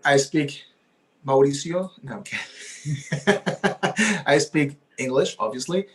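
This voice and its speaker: A man's voice: fairly high pitch, takes it slowly, a bit bright. A man whose first language is Spanish, a realtor talking eagerly in a casual interview and often tagging his points with "right?". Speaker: realtor guest.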